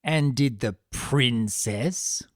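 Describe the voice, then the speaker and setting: A woman's voice: very deep voice, extremely slow, no room sound, clean studio sound, full character voice. A woman voicing a sly male chimera trickster from a storybook, theatrical, drawling, sardonic and mock-innocent. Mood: feigned casual.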